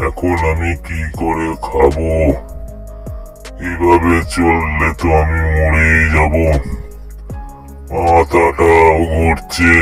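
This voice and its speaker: A man plays a greedy cartoon lion with a very deep voice. He is a lazy bully of a cook who scolds and complains, with faint music behind him. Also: a bit bright, exaggerated voice. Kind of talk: cartoon voice acting for children.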